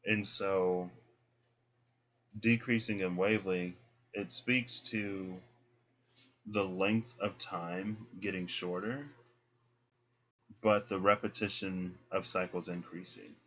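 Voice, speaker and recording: Deep-voiced man giving a tarot reading. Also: takes it slowly, long pauses. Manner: relaxed, explaining, frequent "right?" asides. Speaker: tarot card reader. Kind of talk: casual spiritual card reading. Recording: dull lo-fi sound.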